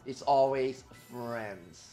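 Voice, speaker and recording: A man streaming live who chats casually, swears freely and reacts loudly to his viewers, and he says he is losing his voice. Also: medium pitch, extremely slow, soft background music.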